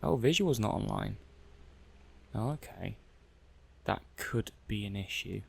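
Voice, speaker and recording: A man's voice: on the low side, expressive, unhurried, creaky, breathy edge, full character voice. A man playing a mild, methodical robot-testing technician in an ASMR roleplay, muttering hesitantly and matter-of-factly to himself. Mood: questioning. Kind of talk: soft-paced ASMR roleplay monologue.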